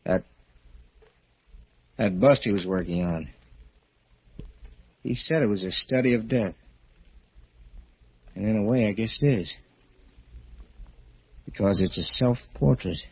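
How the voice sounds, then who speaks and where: A man acting an intense, theatrical part in a 1940s radio thriller, with a husky voice. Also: on the low side, takes it slowly, long pauses, heavily acted. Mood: grave. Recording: muffled old radio recording.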